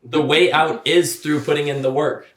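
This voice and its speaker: A man's voice: fairly high pitch, steady tone, normal speed. A man in his thirties, an artist and podcast guest, talking casually and earnestly with many filler words. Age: 30s. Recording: roomy echoey room sound.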